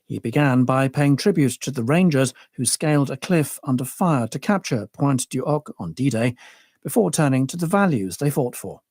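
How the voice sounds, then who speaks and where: A man's voice: mid-range voice, darkish tone, dry recording. Man reading world news in a dry studio. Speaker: news presenter. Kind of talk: read international news.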